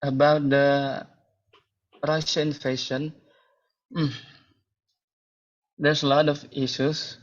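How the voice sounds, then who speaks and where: A young man speaks in a mid-pitched voice, slowly and carefully, pausing to search for words. He joins the discussion over a video call, and the sound is muffled and lo-fi. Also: flat delivery. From Indonesia. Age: 20s. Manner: slow, searching for words, apologetic. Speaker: university student. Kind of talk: casual debate contribution. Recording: dull lo-fi call audio.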